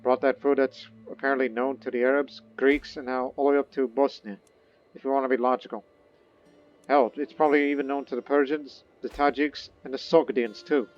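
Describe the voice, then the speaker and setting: A man's voice: mid-range voice, little pitch movement, brisk, muffled recording, faint music behind. A man thinking aloud and reading game text in casual, rambling gameplay commentary. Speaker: gaming video host.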